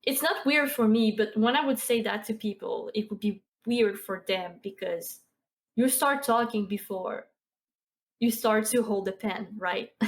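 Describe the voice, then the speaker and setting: A woman in her twenties with a Québécois French accent, a guest on a podcast, chatting casually with many fillers and soft laughs. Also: mid-range voice. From Quebec. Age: 20s. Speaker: podcast guest, streamer and artist. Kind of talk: casual interview chat.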